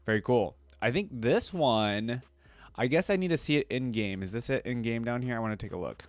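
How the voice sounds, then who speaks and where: A man's voice: medium pitch, animated, brisk, no room sound. Casual male reactor, very dry close sound. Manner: informal, thinking aloud. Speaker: reaction video host.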